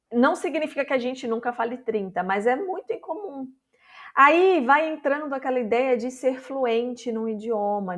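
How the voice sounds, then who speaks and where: A woman with a Brazilian accent talks her viewers through a language lesson. Her voice sits slightly high, and she explains patiently, checking in often to make sure they follow. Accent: Brazilian Portuguese. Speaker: Portuguese teacher.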